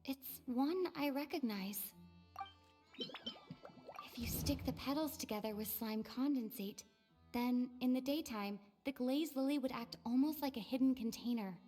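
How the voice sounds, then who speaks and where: An actress playing a careful, analytical female investigator in a fantasy video game, explaining deliberately. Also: high voice, big pitch swings, warm tone, faint music behind. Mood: reasoning.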